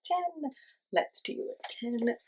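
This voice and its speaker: Husky woman leading a children's class. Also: high-pitched, darkish tone. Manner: simple instructional phrasing with questions and pauses for answers; encouraging, thanking the children. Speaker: children's class teacher and host. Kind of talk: children's lesson with guided movement.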